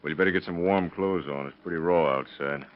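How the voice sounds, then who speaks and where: A man's voice: deep voice, fast talker, natural voice. An actor playing a shrewd male police detective with a dry, needling manner, in 1930s radio drama style. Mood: dry, goading. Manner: dry, needling, leading questions. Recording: dull lo-fi old radio recording.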